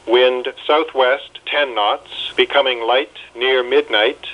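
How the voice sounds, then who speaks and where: Synthetic guy reading weather radio bulletins, clipped and impersonal, dull lo-fi radio sound. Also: mid-range voice. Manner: measured, impersonal, clipped phrases. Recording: muffled weather radio broadcast.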